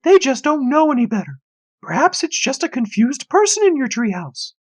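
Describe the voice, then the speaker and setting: A man's voice: extremely high pitch, sing-song, normal speed, pitched above the performer's own voice. A man voicing a patient, sensible mother with a singsong, condescending manner. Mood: patient condescension.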